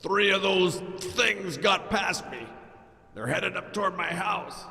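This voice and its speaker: An actor playing a wounded, desperate father in a video game, his gravelly voice strained with pain. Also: high-pitched, takes it slowly, pushed and bright, full character voice. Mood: desperate, angry. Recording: long echoing reverb.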